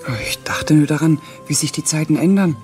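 Shrewd, insistent wife played by an actress. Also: female voice, deep voice, a little fast, natural voice. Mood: probing. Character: Frau Malzmann, shrewd ambitious critical wife. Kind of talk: radio play acting. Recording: music behind the voice.

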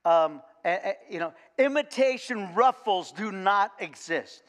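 An older man preaching a sermon in a folksy, animated way, full of repeated words and "okay?" asides. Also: sits high, a little slow. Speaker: pastor. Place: large church sanctuary, indoors. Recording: long reverb of a church hall.

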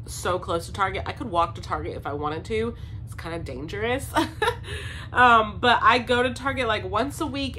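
Bright-voiced chatty woman with a stuffy nose. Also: medium pitch, slight background noise. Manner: chatty, upbeat, many "um"s and "like"s. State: congested from a head cold. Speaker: vlogger, stay-at-home mom. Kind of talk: casual chat to camera. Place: home basement, indoors. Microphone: camera in front, seated framing.

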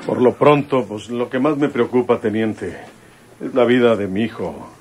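A man's voice: medium pitch, normal speed, darkish tone, faint music behind, natural voice. An actor playing a worried, determined country lawman and father in a radio drama, with folksy northern Mexican speech. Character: rural ex-comisario father, stubborn, worried, determined. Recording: noisy old radio drama recording.

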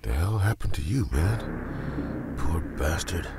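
An actor playing a gruff, disoriented mercenary with a gravelly voice, muttering to himself in an audio drama. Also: male voice, deep voice, lively intonation, takes it slowly, noisy background, full character voice. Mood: shocked muttering. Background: loud music.

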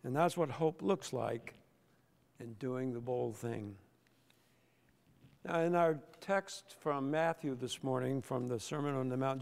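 An older man, a pastor, preaching a sermon from the pulpit with slow, emphatic delivery and deliberate pauses. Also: fairly high pitch, darkish tone. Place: large church sanctuary, at the pulpit. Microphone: pulpit microphone. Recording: long church reverb.

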